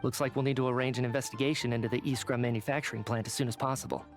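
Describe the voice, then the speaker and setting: A man playing an earnest, chatty young government researcher in a video game, oblivious and expressive. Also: mid-range voice, very fast, faint music behind, lightly acted.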